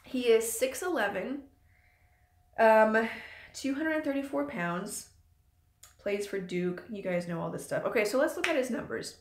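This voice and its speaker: A woman's voice: fairly high pitch, lively intonation, unhurried, pauses often. A woman in her late twenties hosting her own channel, chatting loosely and rambling with lots of ums in a live stream from a room at home. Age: late 20s. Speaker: channel host. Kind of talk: casual live-stream chat. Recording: echoey room.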